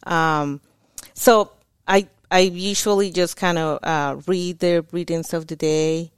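A woman's voice: fairly low pitch, steady tone, slow, clean tone, no room sound, clean studio sound. A woman from Mexico, a Spanish speaker, talking as a guest on a podcast in a chatty way with frequent fillers. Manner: chatty, laughs often, many fillers. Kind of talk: casual podcast interview.

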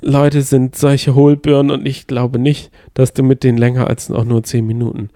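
A man with a mid-pitched voice talks as a podcast co-host. He sounds opinionated and a bit sarcastic in casual conversation. The recording is dry, with very little room sound. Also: little pitch movement, moderate pace, warm tone, no room sound. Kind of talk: casual chat about reality TV. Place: hotel room.

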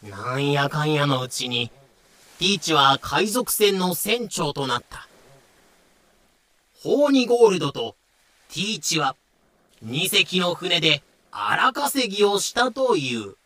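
A man's voice: a little high, very animated, takes it slowly, long pauses, bright, forceful tone. A man narrating an audio drama from a script in a dramatic storytelling style.

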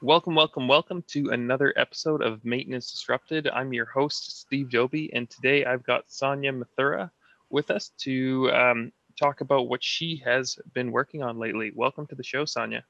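A man's voice: mid-range voice, steady tone, normal speed, creaky, fairly bright tone, no room sound, highs cut off. A man hosting a podcast, upbeat and enthusiastic as he interviews guests and reads promos. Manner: upbeat, enthusiastic host patter. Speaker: podcast host. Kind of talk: podcast interview and read ads.

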